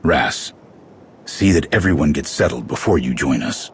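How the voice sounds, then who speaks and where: An actor playing a stern, blunt military clan commander in a video game cutscene, with a husky, authoritative delivery. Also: male voice, animated, normal speed, slight background noise. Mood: commanding, businesslike. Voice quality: very deep, gravelly, husky.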